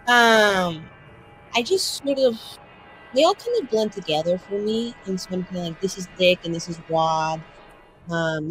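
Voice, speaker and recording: A woman's voice: fairly low pitch, low-quality recording. A woman improvising casual in-character dialogue for a tabletop game, with a playfully snarky, conversational manner. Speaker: player in a tabletop roleplaying game.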